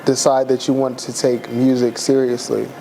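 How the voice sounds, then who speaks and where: A man's voice: medium pitch, flat delivery, moderate pace, vocal fry. Male interviewer, short plain questions, audible hiss on the track. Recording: noticeable background hiss.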